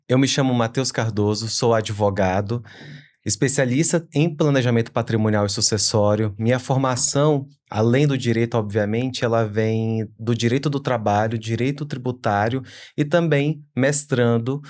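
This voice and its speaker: A young man from Manaus talks as a lawyer guest on a business podcast. He explains in a measured, steady way, setting out his points at an even pitch. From Manaus, Amazonas, Brazil. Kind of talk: podcast interview.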